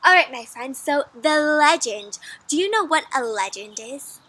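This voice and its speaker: A woman giving an interactive storybook read-aloud for children, animated and encouraging, often asking her young listeners questions. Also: high-pitched, normal speed.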